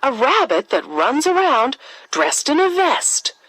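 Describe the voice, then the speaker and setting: A woman narrating a scripted children's fairy tale as a storyteller, with expressive, dramatic emphasis. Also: high-pitched, slow pace, no room sound, low-quality recording.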